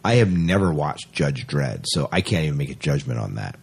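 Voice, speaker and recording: A man's voice: very deep voice, animated, fairly quick, creaky, slightly rough. A man co-hosting a podcast, reading out news and chatting casually with enthusiastic opinions. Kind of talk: casual podcast chat mixed with read-out news.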